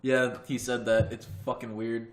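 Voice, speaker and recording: A man's voice: mid-range voice, little pitch movement, moderate pace. A young man co-hosting a podcast in casual chat, blunt and swearing offhandedly. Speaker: podcast co-host. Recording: echoey room with faint noise.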